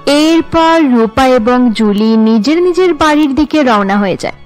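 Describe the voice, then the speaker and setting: A woman narrates a cartoon story from a script. Her voice sits high, and faint music plays behind it. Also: animated, a little slow.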